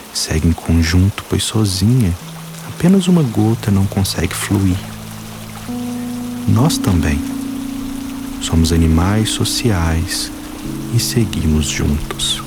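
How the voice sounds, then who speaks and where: A man guiding a meditation, speaking calmly and slowly in short phrases with long pauses. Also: low voice. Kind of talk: guided meditation. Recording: voice-over with music and water sounds. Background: soft music, rain and running water.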